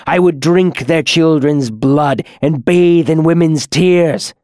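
A venomous captive demon from a fantasy tale, acted out by a male storyteller, hissing and rasping. He spits hateful, defiant words with shrieking desperation, his pitch pushed up a little, in a dry, close recording. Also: slow, a little mellow, no room sound. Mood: shrieking defiance. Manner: shrieking, spitting curses.

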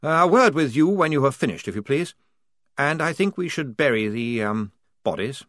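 A man's voice: mid-range voice, animated, normal speed, a bit bright, no echo, clean studio sound, voice pushed up, close to an everyday voice. A man reading an audiobook, voicing a pale, polite young army officer with a fussy manner. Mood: flustered.

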